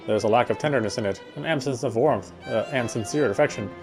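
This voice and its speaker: Male game streamer narrating a visual novel: mid-pitched, expressive read, the odd stumble, music behind. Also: fast talker. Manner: expressive reading with stumbles over words.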